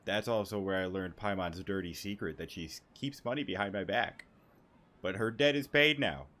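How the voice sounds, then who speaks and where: A man's voice: low voice, normal speed, pushed and bright. A young man giving casual, rambling, joking reaction commentary as he plays a game. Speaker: gaming video host. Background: game music.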